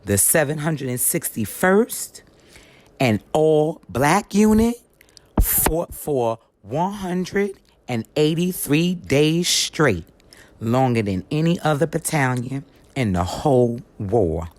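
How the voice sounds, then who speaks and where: A woman reading aloud as a folksy, plainspoken grandmother narrator of a history storybook, in a measured storytelling manner. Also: low voice, very animated, darkish tone, full character voice. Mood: proud. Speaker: reader of a children's history book. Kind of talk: scripted storybook read-aloud in first person.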